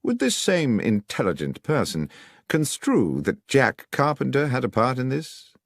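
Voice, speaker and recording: A man doing a crisp, ironic gentleman detective. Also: mid-range voice, wildly swooping pitch, unhurried, dry recording, clean studio sound, pitched above the performer's own voice, heavily acted. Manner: crisp, probing, dryly ironic. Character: sharp, precise male detective, middle-aged.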